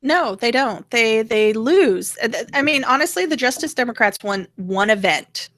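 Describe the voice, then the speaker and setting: A woman with a bright, forward voice talks frankly in casual, opinionated chat as a show co-host. The sound is dry and close, with very little room around it. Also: fairly high pitch, expressive, moderate pace, no echo. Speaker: co-host of a political rant show.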